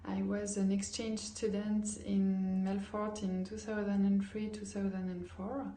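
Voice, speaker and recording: Woman from France in her thirties, hesitant testimonial, flat mellow delivery, echoey room. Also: mid-range voice, unhurried. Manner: hesitant, many fillers. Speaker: former exchange student. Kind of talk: personal testimonial.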